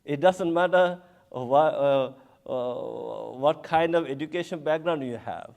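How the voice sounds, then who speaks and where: A man who is a Buddhist monk from Bangladesh, giving an unhurried symposium talk with frequent chuckles and hesitations. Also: on the high side, creaky, a little mellow. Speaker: Buddhist monk, panel speaker.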